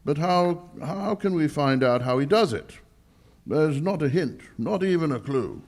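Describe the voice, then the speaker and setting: A man performs a pompous aristocratic bank governor in an old-style radio drama. The voice is raised a little and swings widely. Each emphatic, anxious phrase comes slowly and melodramatically. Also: a little high, lively intonation, darkish tone. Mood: puzzled. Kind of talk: 1940s radio mystery acting, performed live.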